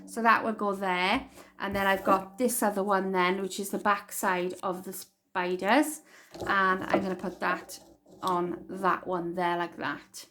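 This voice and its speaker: Welsh woman, casual craft tutor, chatty and self-correcting, mid-pitched voice, faint desk noise. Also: little pitch movement, a little slow, bright, forceful tone. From Wales. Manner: chatty, rambling, thinks aloud while working.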